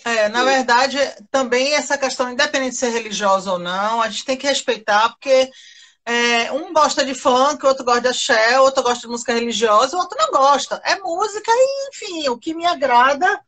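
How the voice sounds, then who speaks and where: A woman's voice: high-pitched, lively intonation, brisk, a bit bright. A woman with a Brazilian accent from Salvador, speaking as a radio guest, earnestly arguing through everyday examples. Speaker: radio guest, acoustics professional. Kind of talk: conversational radio discussion.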